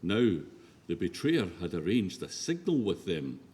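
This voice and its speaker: Slow, low-voiced man in an echoey church. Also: sing-song, mellow. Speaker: narrator. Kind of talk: solemn scripture reading. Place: large reverberant church. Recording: very echoey church, faint noise.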